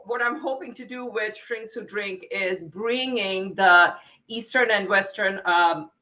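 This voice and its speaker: A woman's voice: medium pitch, a little slow, sharp tone. A middle-aged woman from India with an Indian accent, a psychotherapist explaining her work earnestly as a guest. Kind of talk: interview conversation.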